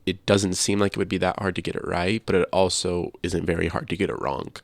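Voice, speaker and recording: A man's voice: deep voice, level tone, a little fast, vocal fry, dry recording. A man co-hosting a casual discussion, making his points with some emphasis and repetition. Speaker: podcast co-host. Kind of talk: casual discussion of video games.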